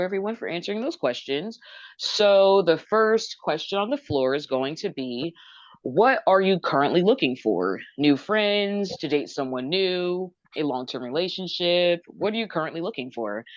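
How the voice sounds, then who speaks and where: Woman host, low voice, quick little "awesome"s between guests, dry and kinda muffled. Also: animated, no room sound. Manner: brisk, repeats short approvals. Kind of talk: moderating a group dating show.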